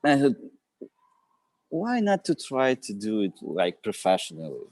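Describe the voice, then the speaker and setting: A man's voice: fairly low pitch, wildly swooping pitch, unhurried, darkish tone, no echo. A man with a Ukrainian accent, an actor and writer speaking as a guest in an interview, hesitant with many fillers and restarts. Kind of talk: live interview chat.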